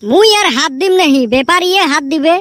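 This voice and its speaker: Squeaky cheeky village boy. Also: fast, over music. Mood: cheeky pleading. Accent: northern Bengali (Rangpuri). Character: cheeky, pleading young village son. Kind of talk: comic cartoon dialogue.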